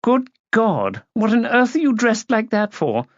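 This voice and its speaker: A man's voice: high voice, wildly swooping pitch, unhurried, no echo, highs cut off, voice pushed up, exaggerated voice. A man voicing a haughty, imperious upper-class woman in an audiobook, clipped, condescending and cutting. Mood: scornful. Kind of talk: audiobook character voice.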